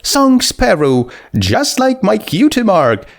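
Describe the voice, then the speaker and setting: A man voicing a cheerful, polite young female skeleton pony with a light, energetic voice. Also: takes it slowly, creaky, exaggerated voice. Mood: chirpy, polite. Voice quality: high, light. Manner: bubbly, full of energy.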